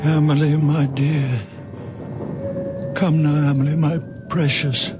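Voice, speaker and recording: An actor playing a gentle, frail very old widower, speaking softly and mournfully in an old radio drama. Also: male voice, a little high, level tone, over loud music. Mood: yearning. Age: older. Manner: soft, slow and mournful. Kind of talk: 1970s radio drama acting. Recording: muffled old radio broadcast with loud hiss.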